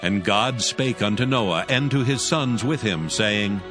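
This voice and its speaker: Low-voiced man narrating scripture over music. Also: animated, unhurried.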